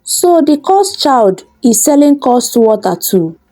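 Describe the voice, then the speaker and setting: Lady doing a mean mocking village elder guy, sarcastic, slow, folktale telling. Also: high-pitched, very animated, dark tone, soft background music, exaggerated voice. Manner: sarcastic, scornful.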